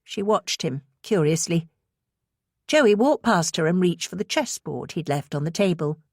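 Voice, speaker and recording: Woman, deep-ish voice, audiobook narration, suspense novel, dry studio-like sound. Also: expressive, unhurried, dry recording. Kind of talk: scripted suspense fiction narration.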